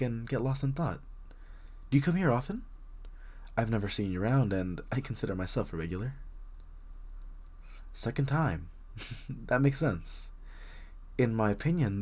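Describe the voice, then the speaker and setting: A man playing a very shy, friendly young writer in an intimate coffee shop roleplay, speaking hesitantly with nervous stammers and a husky voice. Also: lowish voice, darkish tone. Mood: warming up, friendly. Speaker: audio roleplay voice actor. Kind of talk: intimate one-sided roleplay acting, casual chat.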